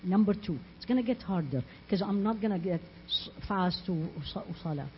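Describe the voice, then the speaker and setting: A woman's voice: mid-range voice, animated, moderate pace. Female Islamic teacher, emphatic and sincere storyteller; muffled, faintly noisy recording. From Iraq. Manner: earnest, emphatic, repeats phrases and asks rhetorical questions. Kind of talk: religious talk with storytelling. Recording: muffled lo-fi audio with faint noise.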